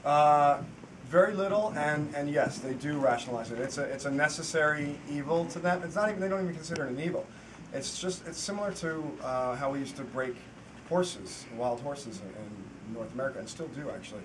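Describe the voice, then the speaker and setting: A man's voice: a little high, a little fast. Man, filmmaker, panel Q&A, rambling, earnest, distant mic, audible room noise. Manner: rambling, earnest, with many restarts. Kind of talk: panel Q&A answers. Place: festival screening hall.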